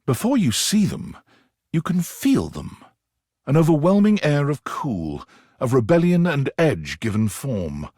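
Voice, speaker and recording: A man's voice: mid-range voice, big pitch swings, unhurried, darkish tone, no room sound, studio quality. A man voicing a dramatic, theatrical narrator in a video game. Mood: awed, dramatic. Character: dramatic narrator hyping an ultra-cool rebel.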